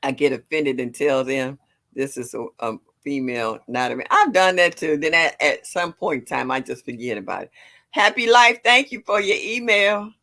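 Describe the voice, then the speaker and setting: A woman in her sixties from with a deep, low voice, chatting casually on a livestream, greeting viewers and laughing often. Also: lively intonation, moderate pace, a bit bright. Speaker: livestream host. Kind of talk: casual chat reading viewer comments.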